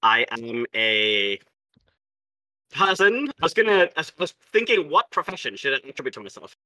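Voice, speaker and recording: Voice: medium pitch, sing-song, moderate pace, sharp tone, no echo, poor audio. A player from South India who designs games, talking in a playful, theatrical and grandiose way. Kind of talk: casual podcast chat.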